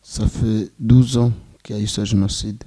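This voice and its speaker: A Rwandan man in his twenties giving solemn, measured testimony as a genocide survivor. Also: on the low side, level tone, mellow, no echo. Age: 20s. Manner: slow, grave, measured phrasing.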